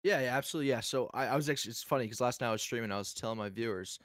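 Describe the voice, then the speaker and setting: A man's voice: medium pitch, rapid-fire, fairly bright tone, no echo, clean studio sound. A man who streams, chatting easily as a guest on a podcast. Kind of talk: casual interview chat.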